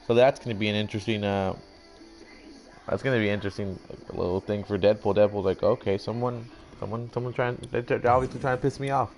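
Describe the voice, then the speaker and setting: A man's voice: fairly low pitch, steady tone, fast, a little mellow, soft background music. A young man doing casual, rambling mock-announcer commentary for a video game draft, swearing now and then. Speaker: gaming YouTuber.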